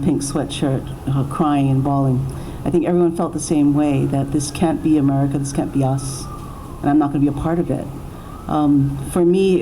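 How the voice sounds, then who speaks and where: A woman's voice: low-pitched, mellow, a little hiss or hum, poor audio. A middle-aged woman giving an informal, unscripted talk at a public forum, often restarting and correcting herself as she goes. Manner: halting, self-correcting, with many repeated starts. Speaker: panelist, attorney speaking at a public forum.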